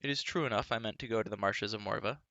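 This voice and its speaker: A man voicing a proud, bitter young prince who speaks low and hoarse with cold contempt. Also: rapid-fire, pushed and bright, dry recording, muffled recording.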